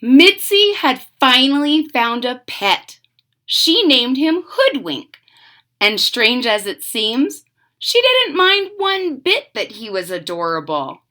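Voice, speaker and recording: A woman's voice: high-pitched, sing-song, takes it slowly, bright, forceful tone. A woman reading a children's story aloud expressively as host, with chatty asides and questions to young listeners. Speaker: storybook reader and channel host.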